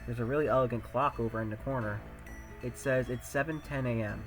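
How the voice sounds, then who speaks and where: An earnest, anxious high-school boy, acted by a man, thinks out loud in a mid-pitched voice. He narrates in the first person like a visual novel hero, questioning himself as he goes. Music plays clearly behind him. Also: steady tone, brisk, lightly acted. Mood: nervous, hopeful. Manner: self-questioning, musing aloud.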